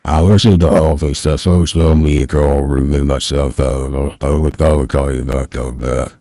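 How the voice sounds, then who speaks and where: A man's voice: fairly even intonation, dark tone, no echo, studio quality. A man playing a rambling old backwoods Southern man on a prank call, mumbling and slurring through a voice changer. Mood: rambling, folksy. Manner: rambling, halting, repeats words. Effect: pitch-lowering voice changer. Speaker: scam baiter. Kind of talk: prank phone call in character.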